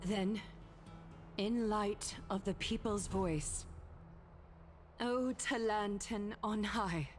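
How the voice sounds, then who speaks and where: A woman voicing a solemn, authoritative judge who presides over a tribunal in a video game, speaking in a formal, measured, ceremonial way. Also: mid-range voice, faint music behind. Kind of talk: formal dramatic game voice acting.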